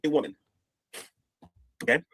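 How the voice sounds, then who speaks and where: A man's voice: unhurried, sharp tone, no room sound, clean studio sound. An older man from the United States with a head cold, talking casually on a livestream and preaching with scripture quotes. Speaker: streamer.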